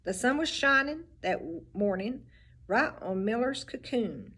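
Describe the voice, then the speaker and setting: A teacher reading a picture book aloud, with expressive storybook delivery and little asides about the pictures. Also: female voice, a little high, measured pace, breathy edge.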